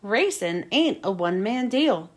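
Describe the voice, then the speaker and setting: A woman's voice: medium pitch, very animated, a little slow, pitched below the performer's own voice. A woman voicing a folksy veteran male race car giving advice in a children's story. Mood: advising. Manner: folksy, advising.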